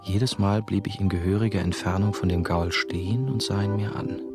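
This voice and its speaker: A man's voice: low voice, steady tone, normal speed, over music. An actor playing a subdued, brooding young man who narrates a radio play introspectively.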